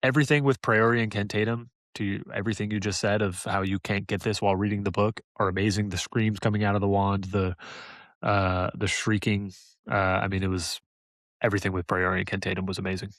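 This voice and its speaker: Low-pitched man, dry close sound. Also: flat delivery, a little fast, fairly bright tone, no echo. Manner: enthusiastic, emphatic. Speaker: podcast host. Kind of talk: casual review chat.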